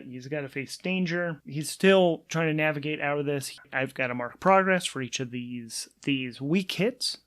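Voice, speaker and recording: A man with a fairly high voice plays a tabletop game by himself. He talks through rolls and story casually, thinking aloud with animated, informal delivery. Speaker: solo game master and player.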